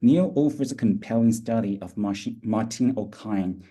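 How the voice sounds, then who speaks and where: A very mellow, dark male voice with a husky grain, pitched a little low. He reads out formal scholarly introductions in the manner of an editor presenting a panel. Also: normal speed. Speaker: journal editor introducing speakers. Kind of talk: reading formal academic introductions.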